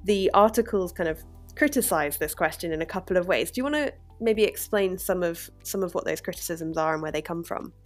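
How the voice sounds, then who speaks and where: Woman from the UK, podcast host, reflective, somewhat low pitch. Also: a little fast, faint music behind. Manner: thoughtful, reflective. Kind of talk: casual discussion.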